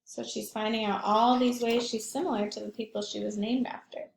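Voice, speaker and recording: A woman's voice: fairly high pitch, little pitch movement, brisk, creaky. A woman school librarian doing a read-aloud for young children, explaining things and putting questions to them. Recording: echoey room.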